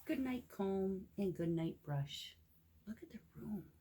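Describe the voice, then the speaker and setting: A woman reading a picture book aloud to young children with gentle, expressive asides that sometimes drop into a hush. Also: medium pitch, slow pace, slightly rough. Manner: gentle, expressive, often drops into hushed whispered asides.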